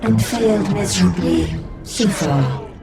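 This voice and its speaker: A man's voice: high voice, lively intonation, unhurried, music in the background, heavily acted. A man voicing a monstrous collective being that calls itself "we" and talks with cold, formal courtesy. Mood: menacing calm. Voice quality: gravelly, speaks as "we". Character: monstrous collective male abomination, coldly polite. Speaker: game villain. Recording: echoey, distant, loud score behind.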